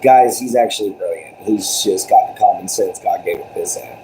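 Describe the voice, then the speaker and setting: Man, booming voice, laid-back rambling livestream chatter, phone mic, faint hiss. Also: normal speed, dark tone, poor audio. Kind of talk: casual live stream chat. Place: home room with kids and dogs. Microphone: phone propped on a table.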